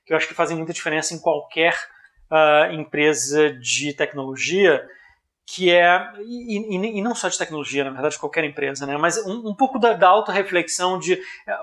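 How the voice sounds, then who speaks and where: A man's voice: a little high, moderate pace, fairly bright tone. A man with a Brazilian accent giving an informal business explanation in a didactic, conversational way, often checking in with the listener. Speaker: presenter of a business analysis video.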